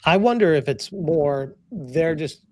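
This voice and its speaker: A man's voice: fairly high pitch, lively intonation, slow pace, darkish tone. A man who streams, a guest on a podcast panel, who talks casually and swears freely.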